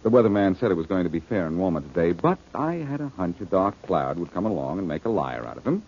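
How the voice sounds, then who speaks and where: An unflappable wisecracking sleuth on a muffled old radio show. His husky, lowish voice lilts up and down freely, glib and mocking. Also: big pitch swings, fast talker, a little mellow, exaggerated voice. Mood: mocking, breezy. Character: flippant, wisecracking, unflappable male amateur detective. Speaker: lead actor in a detective radio drama. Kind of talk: old-time radio detective acting.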